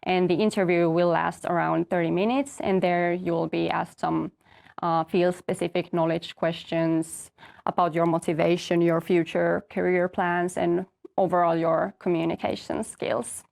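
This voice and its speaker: A young woman from Finland, a master's student, calmly explaining her path and the application steps in an info session. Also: on the low side, moderate pace, gravelly. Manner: orderly, explanatory, light fillers. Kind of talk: answering questions in an info session.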